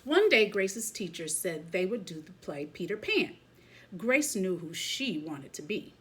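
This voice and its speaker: A woman reading a children's picture book aloud as a teacher would, expressively, pausing to comment to young listeners. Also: lowish voice, very animated, slight background noise.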